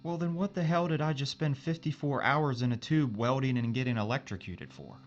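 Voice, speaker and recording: A man's voice: mid-range voice, fairly quick, lo-fi sound, natural voice. A man playing a world-weary, folksy spaceship engineer in a science fiction audio drama, casual, grumbling and full of wisecracks. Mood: animated, joking.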